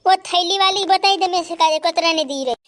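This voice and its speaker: A thrifty village woman in a cartoon, grumbling over prices in a Bhili dialect, as a voice actor puts her on. Her voice is high and sped up. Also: brisk, fairly bright tone, a little hiss or hum. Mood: haggling.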